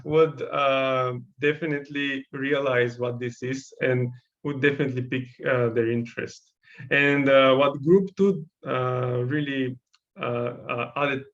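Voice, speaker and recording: A man's voice: medium pitch, dull audio. Bulgarian-accented man giving encouraging feedback. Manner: encouraging, thoughtful, searching for words. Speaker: workshop instructor. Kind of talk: giving feedback on student designs.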